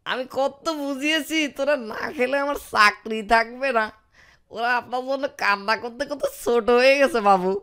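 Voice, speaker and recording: A man's voice: very high-pitched, moderate pace, bright, forceful tone, clear, resonant tone, heavily acted. A man voicing a sly, greedy servant and petty thief in a comic cartoon, theatrical and wheedling. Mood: wheedling sorrow. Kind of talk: exaggerated comic cartoon dubbing.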